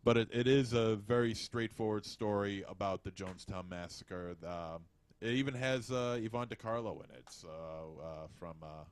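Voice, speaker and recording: A man co-hosting a podcast, chatting casually about movies in a halting, hesitant way. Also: fairly low pitch, smooth. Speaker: podcast co-host. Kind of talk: casual movie review chat.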